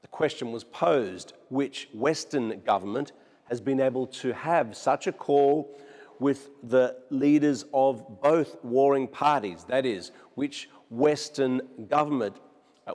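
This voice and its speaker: A man's voice: medium pitch, darkish tone. A man giving an explanatory news report as a foreign correspondent. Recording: long reverberant room echo.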